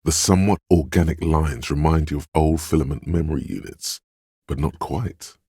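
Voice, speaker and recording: A man voicing a cool, sly, goading inner voice in a video game, narrating in the second person with deliberate, savored words. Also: dark tone, no room sound, studio quality. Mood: dry, musing. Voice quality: very deep, gravelly, husky.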